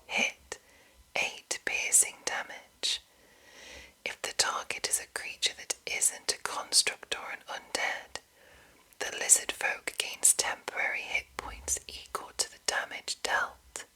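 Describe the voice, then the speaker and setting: A woman whispers in an ASMR style, with no voiced tone at all. Her whisper is very bright and crisp-edged, full of breath. She speaks softly as a host addressing her listeners. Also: takes it slowly. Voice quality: breathy, unvoiced whisper throughout. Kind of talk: whispered ASMR reading of game rulebook and chat to viewers.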